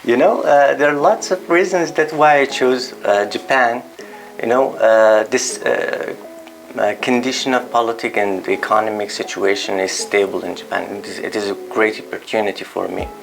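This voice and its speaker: A man's voice: lowish voice, steady tone, moderate pace, vocal fry, poor audio. A young man, a visiting international student, speaking earnestly and emphatically about his experience, with frequent fillers. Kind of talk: interview, personal testimony. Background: faint music.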